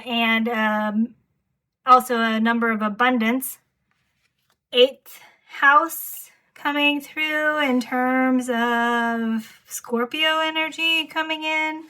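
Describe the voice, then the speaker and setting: A woman giving an intuitive tarot reading, calm and soft-spoken, her voice slightly hoarse from talking. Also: on the high side. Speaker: tarot reader.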